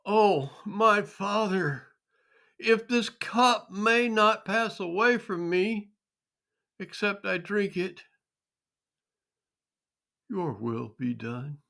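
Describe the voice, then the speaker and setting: Grave, tender male teacher of scripture, acted by a man reading aloud, drawn-out phrases, forceful and clear-edged tone. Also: high-pitched, lively intonation, voice pushed up, full character voice. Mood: anguished pleading. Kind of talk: dramatic scripture storytelling.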